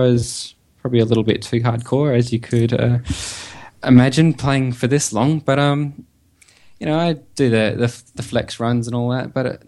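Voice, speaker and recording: Australian male guest, mid-pitched voice, casual conversational interview answers. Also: a little mellow. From Perth, Western Australia. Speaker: podcast guest, website developer.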